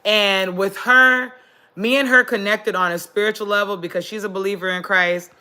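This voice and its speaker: Congested woman vlogger, raspy but bright, casual live-stream chat with viewers. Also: mid-range voice, steady tone, moderate pace. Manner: chatty, greets viewers, many fillers. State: congested, losing voice.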